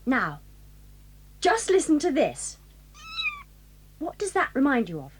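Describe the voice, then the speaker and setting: English schoolgirl, children's audio play, mischievous, inventive, high voice, animated intonation, crisp diction. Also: slow pace, background noise, heavily acted. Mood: scheming. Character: mischievous, inventive English boarding-school girl, ringleader of tricks. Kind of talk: 1980s children's audio dramatisation acting.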